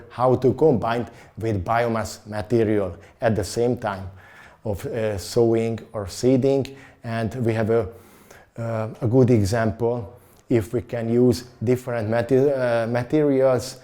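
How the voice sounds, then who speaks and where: A man giving a halting interview answer as an agriculture expert, with many fillers and restarts. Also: medium pitch, warm tone.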